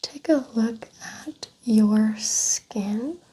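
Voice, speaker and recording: A woman performs a soft-spoken ASMR doctor roleplay with a lightly high, mellow voice. She speaks very slowly and gently, in a breathy, whispery tone, guiding a patient through an exam with calm clinical remarks.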